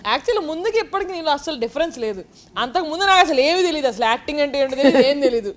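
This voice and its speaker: A woman's voice: high voice, animated, very fast. A woman with a Telugu accent bantering casually as an interviewed actress.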